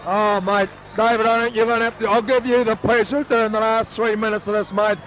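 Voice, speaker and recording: A hoarse man, excitedly calling a local rugby league game as play-by-play commentator. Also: high voice, fairly quick. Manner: excitable, voice soaring on breaks. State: hoarse, losing his voice. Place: outdoor grandstand at a suburban oval. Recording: old amateur sports recording with crowd noise. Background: crowd noise.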